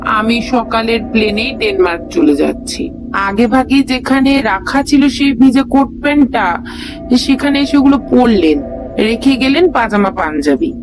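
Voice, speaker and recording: Lady doing a jittery young guy in an audio story, pitched up, music behind. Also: lively intonation, moderate pace, lightly acted, low-quality recording. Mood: shaken, recounting. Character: nervous young man, frightened and bewildered. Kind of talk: audio story character acting.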